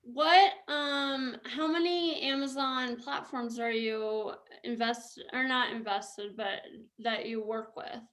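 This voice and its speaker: A woman's voice: fairly high pitch, steady tone, takes it slowly, hardly any pauses, a bit bright. A woman hosting an interview podcast, asking questions in a playful, teasing way. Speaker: podcast host. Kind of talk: casual interview chat.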